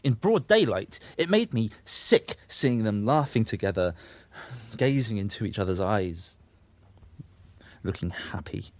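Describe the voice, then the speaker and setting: Troubled young man, audio drama. Self-justifying, sweary, very lively intonation, dry studio sound. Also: on the low side, measured pace, dry recording. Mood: bitter recounting. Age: young adult. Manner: confessional, defensive, sweary. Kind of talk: naturalistic audio drama dialogue.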